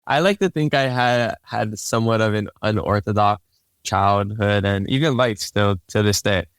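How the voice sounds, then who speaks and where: A man's voice: fairly low pitch, moderate pace, smooth, no echo, studio quality. A young man from Hawaii whose first language is Hawaiian, casually telling his life story as a podcast guest with a laid-back manner. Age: young adult. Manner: laid-back, relaxed, repeats words when starting sentences.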